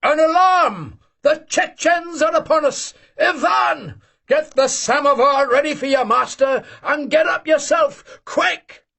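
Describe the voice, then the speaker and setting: A man voicing a loud, boisterous old male Cossack hunter who shouts and teases. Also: big pitch swings, a little slow, husky, heavily acted. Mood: alarmed shouting. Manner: booming, teasing, shouting.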